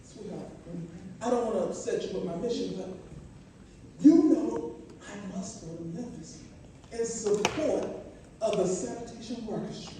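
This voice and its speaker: A man acts out a devoted husband and civil rights leader with solemn, oratorical conviction. The delivery is slow and deliberate, the tone mellow. It is a stage drama heard in a very echoey space. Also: sits high. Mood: earnest resolve. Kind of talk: stage play acting. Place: theatre stage, recorded from a distance. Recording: echoey stage, distant mic, faint noise.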